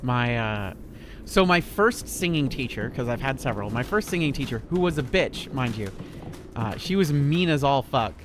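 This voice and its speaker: A man's voice: medium pitch, expressive, normal speed, background noise. A man in his thirties streaming a horror game, chatting in a rambling, laid-back way with a lot of swearing. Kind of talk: casual gameplay commentary.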